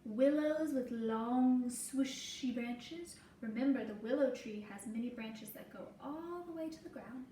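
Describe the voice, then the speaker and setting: A woman teacher reading a children's story aloud deliberately, with simple explanatory asides. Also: fairly high pitch, warm tone. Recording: roomy echo, distant mic, faint noise.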